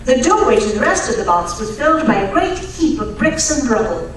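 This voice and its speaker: A woman's voice: a little high, big pitch swings, a little fast. A woman playing a genteel, eager titled lady archaeologist in an old-time radio drama, polite and earnest. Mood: explanatory. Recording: very echoey hall, distant mic, faint noise.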